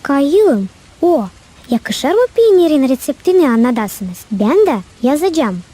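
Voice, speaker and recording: Bir kadının canlandırdığı yumuşak tınılı, meraklı küçük kız. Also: female voice, high voice, very animated, measured pace, dark tone. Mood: respectful. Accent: Gagauz. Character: curious, studious, polite young girl. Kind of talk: animated cartoon dialogue.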